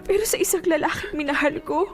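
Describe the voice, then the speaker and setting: A woman's voice: high voice, quick pace, lightly acted. An actress with a Filipino accent playing a hurt, spurned young woman, proud and reproachful, in an emotional radio drama. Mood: hurt, tearful. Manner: wounded, reproachful. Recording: music under the dialogue. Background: music.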